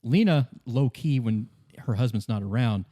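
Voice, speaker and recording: A man hosting as game master, narrating a story in a halting, conversational way and repeating words. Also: medium pitch, lively intonation, dark tone. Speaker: game master and podcast host. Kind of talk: casual improvised narration of a tabletop-style story.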